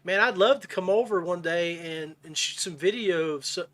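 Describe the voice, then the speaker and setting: A man's voice: high-pitched, normal speed, fairly bright tone. A man hosting a podcast and chatting casually with his guest. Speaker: podcast host. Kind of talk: casual chat.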